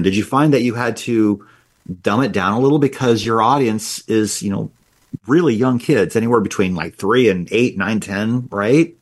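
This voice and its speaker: Low husky-voiced man host. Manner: frequent short backchannel replies. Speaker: interview host. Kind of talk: interview questions, casual.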